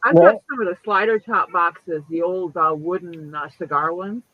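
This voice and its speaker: A man in his late fifties with a high voice chats loosely, swearing freely and leaping up in pitch. The sound is dull and lo-fi, like a home stream. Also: moderate pace. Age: 50s. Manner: chatty and profane, lively pitch jumps. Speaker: host. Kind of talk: casual chat.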